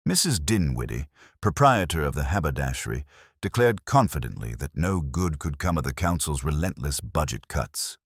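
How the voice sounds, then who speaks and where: Very deep, husky male narrator. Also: very animated, normal speed, dry recording, studio quality. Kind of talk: scripted literary mystery narration.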